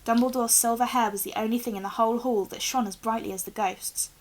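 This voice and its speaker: A young woman reads a storybook aloud in an expressive narrating style. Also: fairly high pitch, fast talker. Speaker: reader, teacher. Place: small room indoors with bookshelves.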